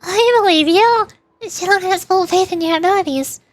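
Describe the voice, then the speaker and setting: A woman voicing a small, excitable, blunt female fairy companion in a game. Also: extremely high pitch, moderate pace, no echo, voice pushed up. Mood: reassuring. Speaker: vtuber voicing game lines. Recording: faint music behind.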